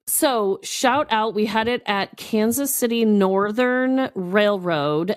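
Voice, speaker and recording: A woman co-hosting a podcast, telling casual comic stories in a chatty, animated and expressive way. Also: mid-range voice. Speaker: podcast co-host. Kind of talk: casual comedic storytelling.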